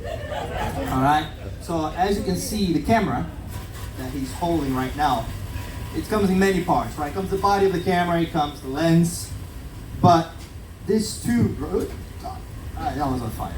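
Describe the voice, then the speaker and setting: A man whose first language is Portuguese stumbles through a bluff on a theater stage. He talks slowly, with a lively, swooping pitch. He sounds far off in an echoey hall, with a crowd laughing and murmuring all around. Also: a little hiss or hum. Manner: flustered, halting, laughing at himself. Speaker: cruise staff contestant. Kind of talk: bluffing on a stage game show. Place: large ship theater with live audience. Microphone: handheld mic held by someone else. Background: audience laughter and chatter.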